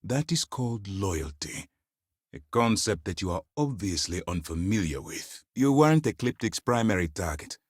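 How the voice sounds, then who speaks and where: A stern military commander from a video game, with a low voice that moves widely between measured restraint and force. He phrases things formally and with authority, in a close, dry recording. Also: sing-song, husky, no room sound. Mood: composed, confiding. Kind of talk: scripted video game dialogue.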